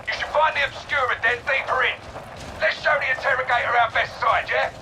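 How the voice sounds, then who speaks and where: A man's voice: very high voice, fairly even intonation, brisk, background noise. A man playing a wry, weary sergeant major in a video game, giving orders in a blunt, informal, sarcastic way. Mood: dry sarcasm. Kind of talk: video game mission dialogue. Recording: loud music behind the voice.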